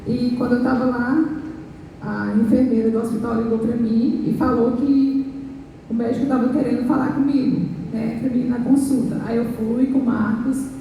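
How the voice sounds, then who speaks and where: Hoarse Brazilian woman testifying in church. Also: fairly high pitch, little pitch movement, mellow. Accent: Brazilian Portuguese. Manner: earnest, halting retelling with restarts. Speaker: pastor and worship minister. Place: large church hall. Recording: echoey church hall, distant mic, faint noise.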